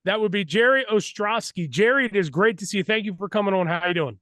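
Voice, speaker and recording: A man's voice: high voice, fast, sharp tone, dry recording, studio quality. A man hosting a sports interview, introducing guests and putting questions to them. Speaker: show host.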